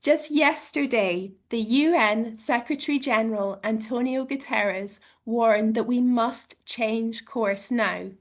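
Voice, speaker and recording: A woman speaks over a video call with a croaky edge to her voice. She sits on the high side for a woman. Her prepared campaign talk comes across earnest and steady. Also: slow pace, few pauses. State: croaky voice from illness. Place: at home, joining a meeting online.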